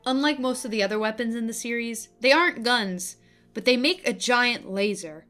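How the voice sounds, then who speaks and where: A young woman delivering opinionated, scripted video-essay commentary with casual asides, in an expressive, emphatic manner. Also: fairly high pitch, normal speed. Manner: expressive, emphatic, gushing and griping. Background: background music.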